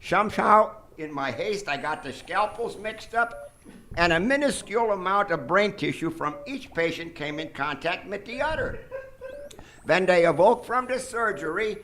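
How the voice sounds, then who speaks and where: A man's voice: high-pitched, expressive, normal speed, sharp tone. A man playing a vain, megalomaniac mad surgeon in a live comedic radio drama, pompous and grandiose. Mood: boastful, grandiose. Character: vain, megalomaniac mad brain surgeon, absurdly boastful villain. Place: theater stage before an audience. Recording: noisy live theater stage recording.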